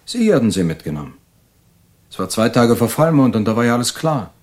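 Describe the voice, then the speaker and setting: An actor playing an anxious, paranoid male mental patient confessing his story in a halting, brooding way in an audio drama. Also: mid-range voice, very animated, lightly acted. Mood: bitter suspicion.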